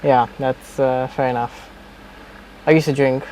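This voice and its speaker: Relaxed young podcast host. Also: male voice, medium pitch, measured pace, slight background noise, poor audio. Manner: relaxed, hesitant with fillers. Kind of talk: casual interview chat.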